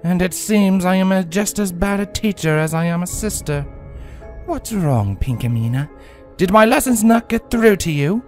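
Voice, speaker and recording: A man voicing a regal, scheming female pony tyrant who talks slowly and mockingly in a purring voice. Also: high voice, lively intonation, a little mellow, soft background music, voice pushed up. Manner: slow, purring, contemptuous.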